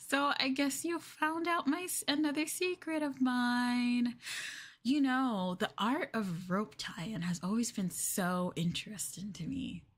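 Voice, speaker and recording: A woman's voice: on the high side, fairly even intonation, takes it slowly. A woman playing a sweet, coy, possessive yandere girlfriend in an ASMR roleplay, with a doting, hesitant way of talking. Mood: coy, flustered.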